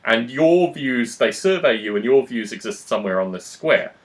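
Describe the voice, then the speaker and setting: A man's voice: medium pitch, lively intonation, normal speed. Analytical man talking politics at length. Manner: analytical, long-winded, explanatory. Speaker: podcast host. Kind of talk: conversational political discussion.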